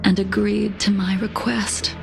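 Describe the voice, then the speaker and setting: Game cutscene: mysterious composed woman, whispery and very creaky, music behind. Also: mid-range voice, little pitch movement, measured pace. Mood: gentle, knowing. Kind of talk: video game cutscene voice acting.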